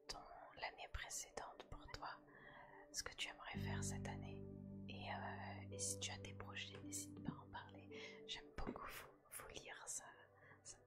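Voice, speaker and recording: A woman speaks in a slow, soft whisper, as in an intimate ASMR chat with one listener. Her phrases are short and hesitant, with pauses between them. Music plays prominently behind her voice. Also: a bass voice. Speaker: ASMR creator speaking as host to viewers.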